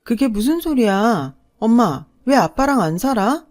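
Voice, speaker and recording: A distraught young girl in a narrated story, pitched high and pressing her questions loudly, as the woman telling it puts her on. She protests and pleads in raised, insistent phrases. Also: big pitch swings, unhurried. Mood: pleading, upset.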